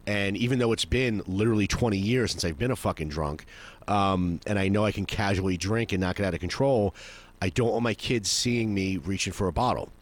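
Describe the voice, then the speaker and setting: A man's voice: fairly low pitch, brisk. A man in his forties in a casual, rambling chat, swearing freely and acting out what others said. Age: 40s. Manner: rambling, profane, acts out quoted voices.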